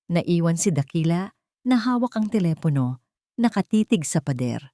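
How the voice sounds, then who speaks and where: A woman's voice: on the low side, very animated, normal speed, warm tone, no room sound. A woman with a Filipino accent narrating a scripted dramatic story.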